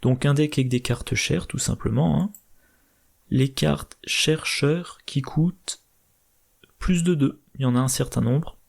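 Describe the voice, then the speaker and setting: A slightly hoarse man methodically explaining card game strategy, thinking aloud with hesitations. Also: mid-range voice, a little slow. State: slightly hoarse, irritated throat. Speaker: board game channel host.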